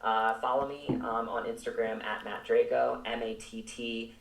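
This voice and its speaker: A man speaking reflectively as an interview guest, earnest and halting, repeating words as he searches for phrasing. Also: medium pitch, steady tone, vocal fry, slight background noise. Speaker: actor, podcast guest. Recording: roomy, echoing, distant from mic.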